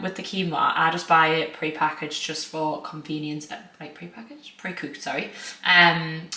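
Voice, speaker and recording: A young northern English woman with a low, bright, forward voice and a slight croak. She chats casually to camera in an echoey home kitchen, full of fillers and quick corrections. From northern England. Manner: chatty, filler-heavy, self-correcting. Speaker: food and lifestyle vlogger.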